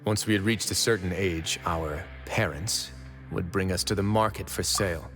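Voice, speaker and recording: A man playing a composed, brooding duke who runs a fortress, speaking gravely and deliberately in video game dialogue. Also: sits low, fairly bright tone, faint music behind. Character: composed, brooding adult male fortress duke with dark past.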